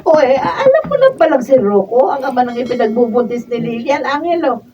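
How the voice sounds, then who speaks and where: A woman's voice: high-pitched, sing-song, brisk, distant mic, music in the background. An actress playing a stern older mother or aunt in a Filipino radio drama, giving emphatic counsel. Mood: indignant, scolding. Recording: dull off-mic sound, faint noise.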